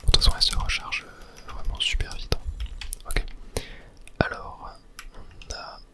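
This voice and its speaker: Male gaming host, soothing unhurried ASMR delivery, whispered throughout. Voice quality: breathy unvoiced whisper. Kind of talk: whispered ASMR gameplay commentary.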